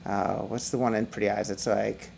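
Middle-aged male musician guest, high whiny gravelly voice, rambling and self-deprecating, faint background noise. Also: little pitch movement, moderate pace, a little mellow. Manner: rambling, many restarts and fillers, laughs often. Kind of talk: casual podcast conversation.

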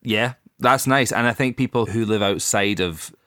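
A man's voice: lowish voice, moderate pace, a bit bright, clean tone, no room sound, clean studio sound. A man co-hosting a casual podcast, jokey and giggly, with playful swearing. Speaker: podcast co-host. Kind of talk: casual label podcast chat.

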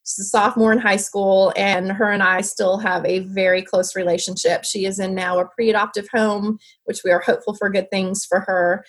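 A woman's voice: medium pitch, flat delivery, moderate pace, few pauses. A woman who grew up as a military kid, a foster parent speaking as a guest and giving earnest encouragement. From moved around as a military child. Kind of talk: encouraging advice.

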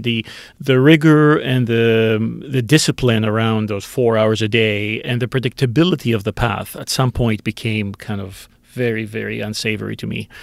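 A man's voice: lowish voice, creaky, no echo. Man, fifties, from greece, candid, self-deprecating, dry close mic. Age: 50s. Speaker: author guest. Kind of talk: interview answers.

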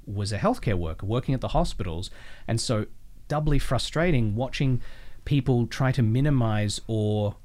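A man's voice: medium pitch, expressive, moderate pace, a little mellow. A man chatting casually as an interview guest, telling his story with asides. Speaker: voice actor guest.

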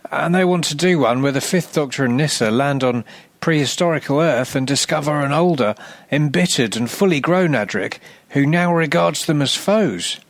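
A man plays an anxious junior television man in a comedy sketch. His voice is pushed up high as he pleads earnestly, tentative and questioning, and the sound is close and dry. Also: no echo. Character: anxious, pleading male TV underling.

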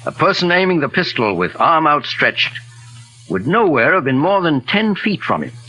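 An actor playing a kindly older gentleman detective with courteous, measured delivery in 1940s radio mystery style. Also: fairly high pitch, very animated, rough recording. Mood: grave, explaining. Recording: raspy-edged old 1940s radio recording.

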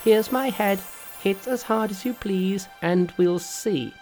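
A narrator voicing a boastful, fearless male monkey king in a storybook reading, loud and mocking. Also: mid-range voice, slow, soft background music, pitched above the performer's own voice, close to an everyday voice.

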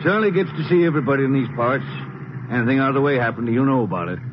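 An actor playing a plain-spoken county sheriff in a western radio drama, matter-of-fact and folksy. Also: male voice, mid-range voice, lively intonation, rapid-fire, close to an everyday voice. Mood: businesslike. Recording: noisy muffled 1950s radio recording.

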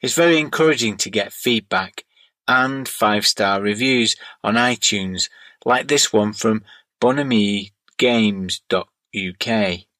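Gentle Bolton-accented man, dry sound. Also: fairly low pitch, measured pace, no room sound. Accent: Bolton, northern English. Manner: gentle, wordy. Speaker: podcast host. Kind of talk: scripted monologue, reading listener mail.

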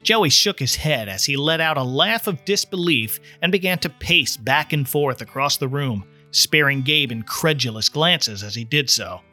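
A man reading a story aloud as scripted, expressive narration. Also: a little high, moderate pace, hardly any pauses. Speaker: story reader. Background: soft plucked guitar music.

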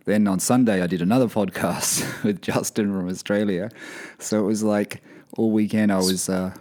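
Croaky deep-voiced man hosting a podcast. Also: normal speed. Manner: relaxed, rambling, many fillers. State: croaky, coming down with something. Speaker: podcast host. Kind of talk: casual podcast chat. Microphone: podcast mic over video call.

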